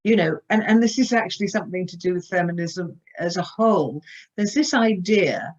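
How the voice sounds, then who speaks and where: Female author guest, earnest and halting, low-pitched, dull lo-fi call sound. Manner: earnest, hesitant with many fillers. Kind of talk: virtual panel discussion.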